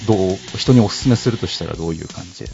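A man's voice: low-pitched, animated, racing, vocal fry, a little mellow. A man hosting a casual radio chat, with jokey, self-deprecating banter. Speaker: radio host. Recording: dull lo-fi audio. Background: faint music.

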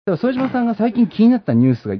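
A man hosting a show, explaining a segment in lively, excitable conversational talk. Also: extremely high pitch, racing, highs cut off. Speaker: show host.

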